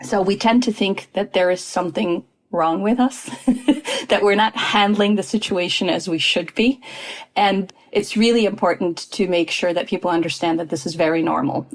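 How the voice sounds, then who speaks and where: A middle-aged Polish-born woman talks through her experience in a relaxed podcast interview. She speaks earnestly and reflectively, pausing with ums and uhs as she thinks. Her voice sits at an ordinary middle pitch. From Poland. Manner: thoughtful, earnest, many fillers. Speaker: guest, expat adjustment coach.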